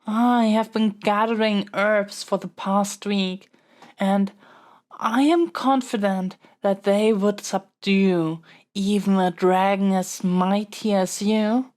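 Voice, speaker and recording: Pampered young royal heir, female performer, fantasy audio drama. Very unhurried delivery, mid-pitched, wordy and proper, earnest haughtiness with a flustered, pleading edge. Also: fairly even intonation, darkish tone, lightly acted. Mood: reluctant threatening. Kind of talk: scripted solo audio roleplay.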